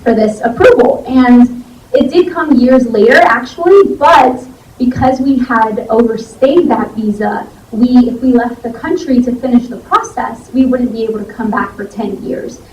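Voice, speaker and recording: A woman's voice: on the high side, moderate pace, darkish tone, a little hiss or hum. A young woman in her twenties, a law student from Ecuador raised in Miami, telling her story at a symposium in a conversational way with many fillers. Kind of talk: personal testimony at a symposium. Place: church hall. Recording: echoey church hall from far off.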